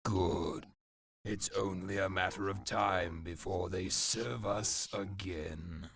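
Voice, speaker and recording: An actor playing a cruel, commanding warlord villain in a video game, speaking with deliberate, imperious menace. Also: male voice, low voice, fairly even intonation, gravelly, husky, full character voice. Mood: cool menace. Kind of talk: video game cutscene acting. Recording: loud rain and background noise.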